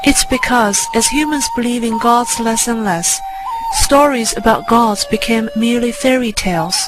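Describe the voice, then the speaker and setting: A woman narrator with a slightly high voice tells a first-person story in a measured, scripted way. Music plays clearly behind her throughout. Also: low-quality recording. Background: music under the voice.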